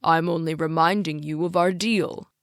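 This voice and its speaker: A woman's voice: low-pitched, fairly even intonation, normal speed, no room sound, studio quality. A woman voicing a stern, controlling father in an audiobook, speaking in a commanding, clipped way. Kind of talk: audiobook character dialogue.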